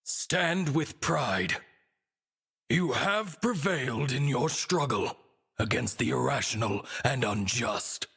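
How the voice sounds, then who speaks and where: A man playing a lofty, amused godlike being in a video game cutscene, speaking in grand, deliberate, archaic phrases. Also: medium pitch, animated, gravelly, pushed and bright, breathy edge, heavily acted. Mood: approving, amused. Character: lofty, ancient male godlike being granting wishes, amused and formal.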